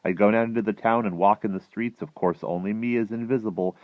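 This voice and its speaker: Man as an excitable boastful boy, raised pitch. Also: quick pace, no room sound, narrow, tinny audio, lightly acted. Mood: gleeful, boastful. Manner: breathless storytelling with mangled grammar. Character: excitable boastful young boy recounting his fantastic dreams.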